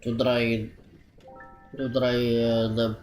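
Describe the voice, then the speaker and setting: Slow-talking man doing casual game commentary. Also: medium pitch, level tone, darkish tone, faint music behind. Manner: halting, rambling. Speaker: game streamer. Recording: faint room noise and clicking.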